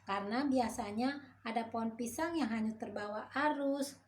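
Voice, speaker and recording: A woman's voice: on the high side, moderate pace, a little hiss or hum, voice pushed up, lightly acted. A woman voicing a kind, good-hearted frog who trusts his friend in a children's story. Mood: cheerful.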